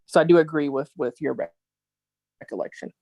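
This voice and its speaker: A woman's voice: sits low, a little fast, gravelly, darkish tone, no room sound. A woman speaks as an attorney advising a formal meeting on a video call. Her delivery is precise and explanatory, and the sound is dry with little room in it.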